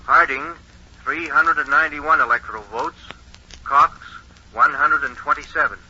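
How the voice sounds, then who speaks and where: An actor playing an earnest 1920s radio announcer in a radio drama, reading out figures with deliberate emphasis. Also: male voice, a little high, fairly even intonation, sharp tone, breathy edge, full character voice. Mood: solemn, formal. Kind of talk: 1940s radio drama acting, newsreader delivery. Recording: muffled vintage 1940s radio recording.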